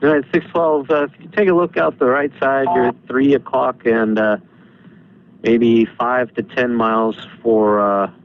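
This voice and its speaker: A man's voice: mid-range voice, fairly even intonation, measured pace. An American man working as an air traffic controller, giving clipped, businesslike, courteous instructions over the radio. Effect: air traffic radio transmission. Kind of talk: clipped radio procedure talk. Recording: dull lo-fi radio with static noise.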